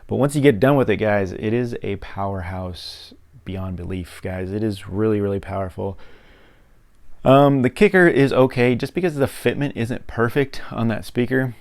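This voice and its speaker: A man's voice: on the low side, moderate pace. An American man presenting a DIY project walkthrough in an informal, rambling way, often repeating words for emphasis. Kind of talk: casual hands-on project walkthrough.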